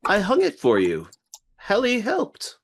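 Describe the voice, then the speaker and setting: A man's voice: high-pitched, wildly swooping pitch, measured pace, exaggerated voice. A man playing a childlike, sensitive office worker in a script table read, naive and eager to please. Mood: eager, welcoming.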